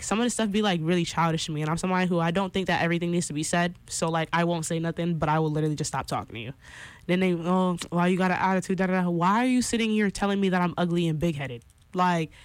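A woman's voice: on the low side, no room sound. Chatty young woman radio host, dry sound. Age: 20s. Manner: animated, chatty, opinionated, lots of fillers. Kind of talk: casual radio talk segment.

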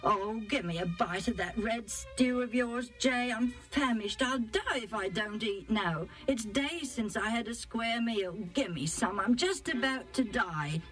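Woman putting on a brash, ravenous young hunter, pitched up. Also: expressive, measured pace, hardly any pauses, fairly bright tone, faint music behind. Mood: famished, demanding. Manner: roaring, blustering, exclamatory. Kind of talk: acted Bible storybook dialogue.

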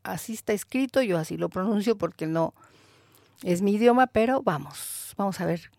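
A woman with a Mexican Spanish accent, hosting a radio show in a measured, thoughtful way, pausing with fillers as she comments. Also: mid-range voice, animated, creaky, darkish tone, no echo, clean studio sound. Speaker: radio host.

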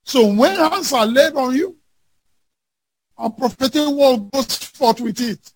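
High-pitched, gravelly man preaching. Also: lively intonation, takes it slowly, a little hiss or hum. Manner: emphatic, declamatory, often raising voice to a shout. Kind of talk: fervent sermon with scripture readings.

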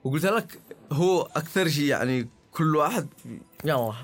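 A man with a husky voice and a Yemeni accent, answering interview questions informally in halting, repetitive phrases. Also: on the high side, expressive, soft background music. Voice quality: husky, hoarse-tinged voice. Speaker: singer, interview guest.

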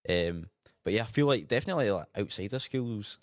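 A young Scottish man with a Gaelic background, co-hosting a casual radio chat in a relaxed, easygoing way. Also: on the low side, brisk, no echo, studio quality. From Scotland. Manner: relaxed, laid-back, often tags sentences with "man". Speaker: radio co-host.

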